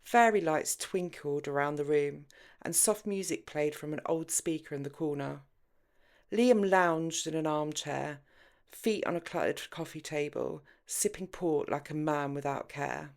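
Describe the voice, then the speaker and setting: Audiobook narrator: woman, low register, expressive storytelling. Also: measured pace, gravelly. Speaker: author reading own audiobook. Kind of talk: expressive scripted fiction narration.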